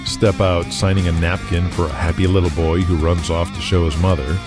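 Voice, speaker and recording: Deep-voiced male storyteller over music. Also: level tone, a little fast. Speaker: narrator of an audio drama. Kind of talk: scripted dramatic narration.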